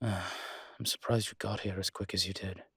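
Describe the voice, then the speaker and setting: Low, husky demon voiced by a man. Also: steady tone, a bit bright, dry recording, exaggerated voice. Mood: bemused, weary. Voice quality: husky, breathy. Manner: hesitant, dry, halting phrasing. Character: weary, guarded male winged demon police consultant. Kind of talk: intimate roleplay audio drama.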